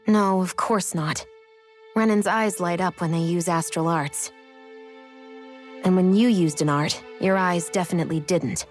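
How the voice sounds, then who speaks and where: A woman's voice: lowish voice, fairly even intonation, a little slow, faint music behind, lightly acted. An actress playing a cool, impatient young warrior woman in a video game cutscene. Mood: cool, probing. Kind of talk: dramatic video game voice acting.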